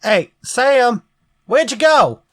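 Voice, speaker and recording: A man playing an excitable writer in an old-time radio horror mystery. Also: extremely high pitch, slow pace, dry recording, studio quality, full character voice. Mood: excited.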